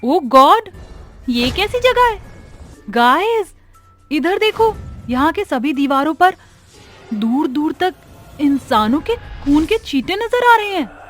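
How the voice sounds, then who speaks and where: A woman acts a frightened young friend in an audio horror tale. The voice jumps up high, urgent and exclamatory, over music. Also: big pitch swings, takes it slowly, fairly bright tone, heavily acted. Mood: horrified. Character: alarmed young friend crying out in a haunted maze. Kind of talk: dramatised horror story acting. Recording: music under the voice.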